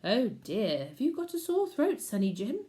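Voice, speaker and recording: A jolly, polite scout leader chirps his welcomes in a very high, bright voice, as a woman reading the storybook puts him on. He sounds eager and cheerful. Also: huge pitch swings, normal speed. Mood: friendly.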